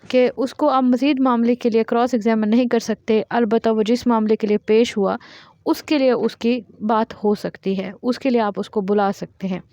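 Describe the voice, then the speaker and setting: A woman teaching law, reading each statute out slowly, word by word, and then explaining it in plain terms. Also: on the high side, steady tone, dark tone, no room sound, studio quality. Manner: reads English legal text slowly word by word, then explains in Urdu. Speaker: law lecturer.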